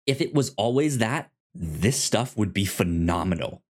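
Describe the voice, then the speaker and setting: Man, podcast co-host, slow delivery, stammering restarts, casual review chat, slightly low pitch. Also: expressive, darkish tone. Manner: halting, stammering, repeats and restarts phrases.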